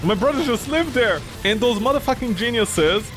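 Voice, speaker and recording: A man streaming a game, talking casually in a rambling, swearing way, jumping from loud outbursts to low mumbles; English is not his first language. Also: lively intonation, moderate pace. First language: not English; grew up with French, Italian. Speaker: gaming streamer. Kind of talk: casual let's-play commentary. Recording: game music loud behind.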